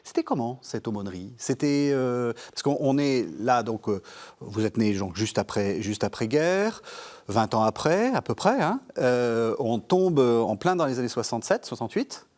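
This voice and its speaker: A man in a middle-pitched voice hosts a studio interview. He asks long questions that wander, restarting often. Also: lively intonation, normal speed, darkish tone. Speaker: TV host. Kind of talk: interview hosting.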